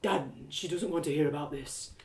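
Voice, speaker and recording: Lady doing a soft quiet village boy, caring, a bit insistent, echoey room. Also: low-pitched, moderate pace, far from the mic, natural voice. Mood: gently protective. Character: considerate, quiet young village son.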